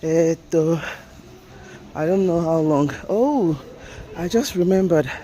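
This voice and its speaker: A man's voice: fairly high pitch, takes it slowly, mellow. A man with a Nigerian accent, an English teacher chatting casually while walking and laughing now and then. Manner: chatty, laughing, drops in Japanese fillers. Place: outdoors by a road. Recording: outdoor traffic noise.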